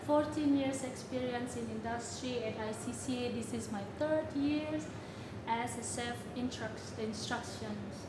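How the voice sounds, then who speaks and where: A woman chef instructor from Indonesia, leading a live cooking webinar in friendly, halting English. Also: fairly high pitch. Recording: echoey room with background noise.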